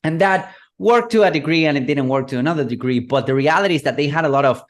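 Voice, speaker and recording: Argentine guy, 30s, relaxed storyteller, chatty with lots of fillers. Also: on the high side, quick pace. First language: Spanish. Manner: relaxed, rambling with many fillers like "you know" and "whatnot". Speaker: guest, digital marketing consultant. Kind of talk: interview guest, storytelling.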